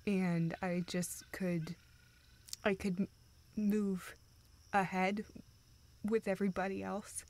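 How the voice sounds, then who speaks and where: A woman playing a dejected, self-doubting young woman who confides haltingly in a friend, in an intimate, naturalistic audio roleplay. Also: mid-range voice, flat delivery, a little mellow. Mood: discouraged. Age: young adult. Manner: halting, hesitant, self-deprecating.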